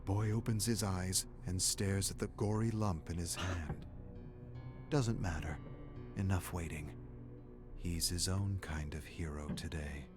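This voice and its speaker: Low-voiced man narrating dark horror. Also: steady tone, a little slow, over music. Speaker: story narrator. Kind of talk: dramatic scripted narration of a dark horror tale.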